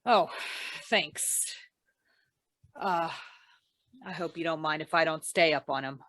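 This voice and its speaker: Woman acting a low-voiced wry detective. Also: slow, no echo. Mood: dry, probing. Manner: deadpan, wisecracking. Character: wry hard-boiled female insurance investigator. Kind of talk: old-time radio noir detective acting.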